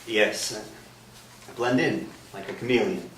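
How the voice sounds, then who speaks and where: An actor in a staged play reading playing a mild, bashful priest, polite and hesitant, gently eager to help. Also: male voice, fairly low pitch, slow pace. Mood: bashful, smitten. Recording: echoey stage, distant mic, noisy room.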